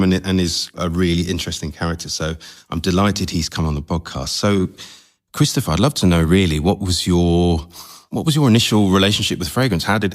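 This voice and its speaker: A man hosting a podcast, asking questions in a conversational way and often affirming with short replies. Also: low-pitched. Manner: encouraging, frequent short affirmations. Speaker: podcast host. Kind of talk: conversational interview.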